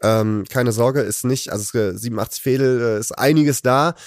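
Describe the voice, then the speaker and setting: Brief: man, laid-back host, dry close sound, middle pitch. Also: a little fast, no room sound. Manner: relaxed, hesitant with many fillers. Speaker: podcast host. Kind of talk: casual chat.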